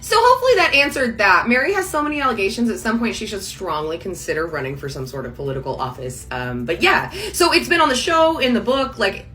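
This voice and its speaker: Young woman doing sarcastic reaction chat, lively swings in pitch, mid-range voice. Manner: sarcastic, lively, quick asides. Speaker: commentary channel host. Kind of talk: casual reaction commentary.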